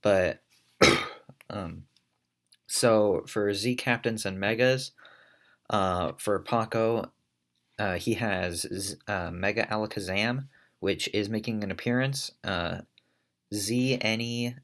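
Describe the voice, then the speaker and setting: Low gravelly man with a congested voice. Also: slow, long pauses, fairly bright tone. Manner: coughs and hedges, thinks aloud. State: congested from allergies. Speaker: league commentator. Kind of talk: casual play-by-play game commentary.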